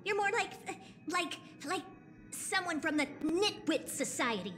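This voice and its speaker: An irritable, conceited young female scientist in a video game. The voice is high and very animated, with curt, scornful emphasis. Music plays clearly behind it. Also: a little slow, heavily acted. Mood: angry, scornful.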